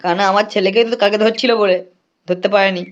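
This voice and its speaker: A man playing an excitable, lecherous village man in a comic cartoon, talking colloquially with whiny questions. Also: high voice, fast talker, highs cut off, close to an everyday voice. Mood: gossiping, alarmed.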